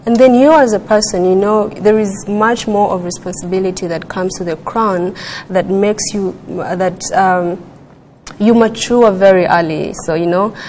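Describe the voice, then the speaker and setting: A young woman who grew up in Uganda, speaking as a guest on a talk panel in a measured way with frequent restarts. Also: mid-range voice, fairly even intonation, a little mellow, slight background noise. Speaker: guest, former pageant queen. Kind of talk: panel discussion.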